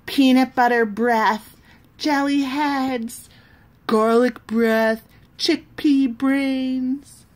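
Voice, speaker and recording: Woman acting a scornful, higher-pitched storybook schoolgirl. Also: steady tone, very slow. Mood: taunting.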